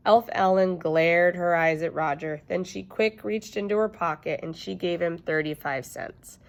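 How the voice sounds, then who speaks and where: A woman reading a children's book aloud in an expressive, emphatic storytelling style. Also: on the low side, moderate pace. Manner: animated, emphatic.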